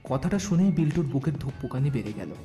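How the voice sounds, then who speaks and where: A man's voice: medium pitch, expressive, fast, dark tone. A man narrating a scripted audio story as the narrator between lines of dialogue. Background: faint music.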